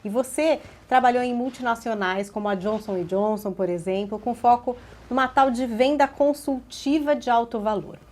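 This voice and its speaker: A woman hosts an interview and asks her guest questions in a chatty, playful manner. Her voice sits a little on the high side. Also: normal speed, hardly any pauses, darkish tone. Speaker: podcast host.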